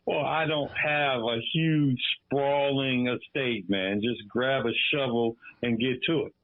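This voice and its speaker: A man's voice: medium pitch, fairly even intonation, takes it slowly. A man in his fifties from Detroit, chatting casually as a guest on a radio show and dropping "man" into nearly every sentence. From Detroit, Michigan. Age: 50s. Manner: laid-back, says "man" constantly. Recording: phone line.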